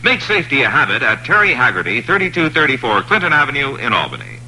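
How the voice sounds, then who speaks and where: Bright, lively vintage radio ad announcer. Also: male voice, quick pace, rough recording. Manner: smooth persuasive pitch. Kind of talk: scripted radio commercial. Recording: old radio broadcast with hum.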